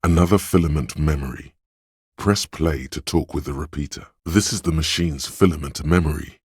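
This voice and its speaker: A man voicing a brooding, dryly wry inner voice that narrates a detective's thoughts in a video game, gravelly and husky, with measured, deliberate delivery. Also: very deep voice, darkish tone, dry recording, clean studio sound. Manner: measured, deliberate, literary second-person narration.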